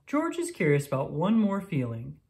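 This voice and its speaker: A woman with a low-pitched voice narrates a children's picture book. Her intonation swings widely from phrase to phrase, and she delivers each line deliberately and expressively for young listeners. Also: huge pitch swings, gravelly, mellow. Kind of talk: scripted read-aloud narration of a picture book.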